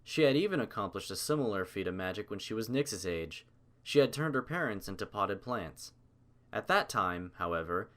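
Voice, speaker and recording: A man reading scripted story narration in a measured, dramatic manner. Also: on the low side.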